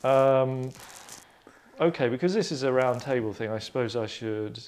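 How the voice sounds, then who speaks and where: A man's voice: medium pitch, fairly even intonation, normal speed, a little mellow. A middle-aged man, a scriptwriter, narrating and casually explaining his work in an earnest, rambling way. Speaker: scriptwriter reading stage directions. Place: furnished room indoors.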